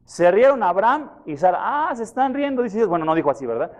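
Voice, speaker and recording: A pastor preaching a sermon, questioning his congregation and retelling scripture in a lively way. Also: sits high, fast talker. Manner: questions the congregation, repeats references for them. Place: church.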